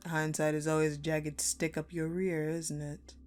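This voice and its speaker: A woman playing a cold, sadistic female general in a dark audio roleplay, speaking deliberately with mocking condescension and dramatic pauses. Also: low voice, little pitch movement, faint music behind, close to an everyday voice. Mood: cool, calculating. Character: sadistic, coldly menacing ice-queen general, commanding and mockingly sweet. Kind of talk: dark audio roleplay acting, addressed to the listener.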